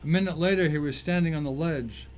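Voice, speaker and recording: A grim first-person thriller narrator, performed by a man. His voice sits slightly higher than average for a man, and he tells the story as a dramatic scripted reading. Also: quick pace, a little hiss or hum, close to an everyday voice, rough recording. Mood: tense, grim. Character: tense, vengeful male narrator of crime thriller.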